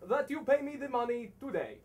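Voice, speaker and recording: Boorish retired officer acted onstage, exasperated and pompous, animated pitch, halting emphatic delivery, far-off noisy stage recording. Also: lightly acted. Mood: pompous, formal. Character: blustering, boorish retired artillery lieutenant, male landowner, exasperated. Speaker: student actor. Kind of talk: stage play acting, theatrical. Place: small theater stage, far camera. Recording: distant stage recording with noise.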